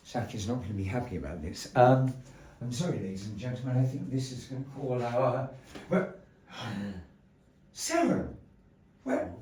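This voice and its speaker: A man's voice: mid-range voice, darkish tone. Theatrical showman compering a live puppet show. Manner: flamboyant showman, mock-dramatic. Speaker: master of ceremonies at a puppet show. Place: indoor gallery venue. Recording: roomy, distant, faint background noise.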